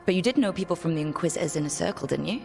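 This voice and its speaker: A woman's voice: fairly low pitch, animated, rapid-fire, vocal fry, a little mellow, faint music behind. A woman playing a curious, wry adventurer and leader in a fantasy video game, with a dry conversational manner. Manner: dry, inquisitive.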